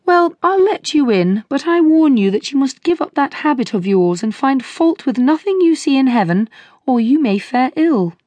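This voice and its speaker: A woman's voice: sits high, very animated, normal speed, a little mellow, dry recording, pitched above the performer's own voice. A woman doing Saint Peter, stern gatekeeper. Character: stern old male saint at heaven's gate.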